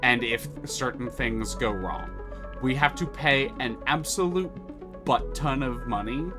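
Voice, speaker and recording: A man's voice: medium pitch, a little slow. A man streaming a game, chatting casually and explaining the mechanics with enthusiasm and many restarts. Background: music.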